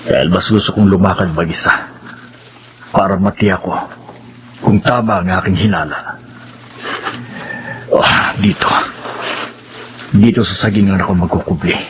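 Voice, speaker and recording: A low, resolute male voice of an actor plays a village man hunting a monster in a radio horror drama. He is suspicious and deliberate, building suspense. The audio is dull, with loud noise behind him. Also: natural voice. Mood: grave, watchful. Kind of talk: Filipino radio horror drama acting, narrating in first person.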